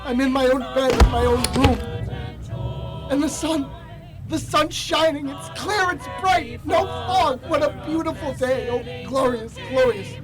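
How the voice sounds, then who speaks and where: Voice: sits high, unhurried, music in the background, low-quality recording. A young adult performer playing an old repentant miser in a radio drama, theatrical and stammering. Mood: overjoyed.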